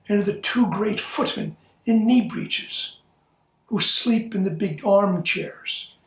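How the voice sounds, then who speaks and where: A man reading a classic short story aloud as a volunteer reader. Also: sits high. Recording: echoey room, distant from the microphone.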